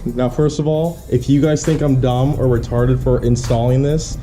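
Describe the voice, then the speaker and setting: A man's voice: mid-range voice, steady tone, moderate pace, warm tone, soft background music. A man talking in a casual, laid-back, slangy way as a car vlogger explaining a hands-on job. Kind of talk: casual how-to chat.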